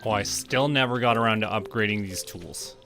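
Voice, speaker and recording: A man from Canada doing casual gameplay commentary, thinking aloud to himself in short, unhurried remarks. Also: on the low side. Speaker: livestreamer playing a farming game. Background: game music.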